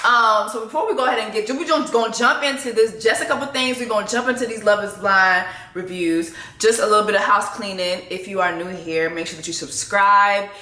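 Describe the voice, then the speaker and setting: A woman's voice: mid-range voice, fairly quick, hardly any pauses, pushed and bright, echoey room. A young adult woman from Canada, a native Haitian Creole speaker, chatting casually and loosely to her viewers as a vlogger and TV co-host. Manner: chatty, loose, self-deprecating, rambling asides. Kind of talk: casual chat to camera.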